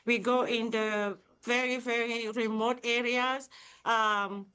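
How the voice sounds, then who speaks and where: A woman's voice: fairly high pitch, fairly even intonation, takes it slowly, pushed and bright, clean tone. A middle-aged woman with a Cameroonian accent, speaking enthusiastically as a charity founder in a live interview. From Cameroon, Central Africa. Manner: enthusiastic, upbeat. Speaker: nonprofit founder and CEO, interview guest.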